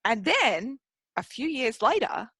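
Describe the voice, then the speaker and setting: A woman's voice: fairly high pitch, sing-song, slow pace, fairly bright tone, slightly rough, dry recording, studio quality. A woman hosting a casual interview, enthusiastic and playful in her reactions. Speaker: podcast host.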